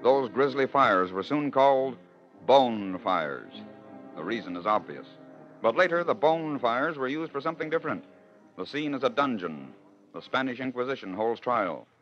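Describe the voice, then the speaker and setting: A man's voice: mid-range voice, very animated, normal speed, soft background music. A man hosting and narrating in scripted 1940s radio style, with a rhetorical storytelling manner. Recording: dull old radio broadcast.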